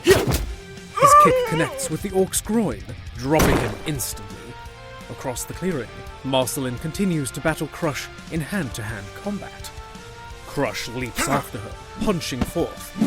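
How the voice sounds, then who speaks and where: A man delivering scripted narration for a fantasy audio drama. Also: medium pitch. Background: music.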